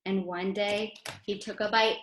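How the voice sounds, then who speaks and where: An American woman reading poems aloud to children in an expressive way, speaking directly to her young listeners. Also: mid-range voice, measured pace, slight background noise. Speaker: children's poem reader and teacher. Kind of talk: animated read-aloud for children. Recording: roomy, echoey sound.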